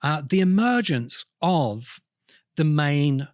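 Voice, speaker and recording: A man hosting a podcast, explaining literary history in a deliberate, emphatic way with pauses between phrases. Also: fairly high pitch, very animated, no room sound, studio quality. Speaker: podcast host.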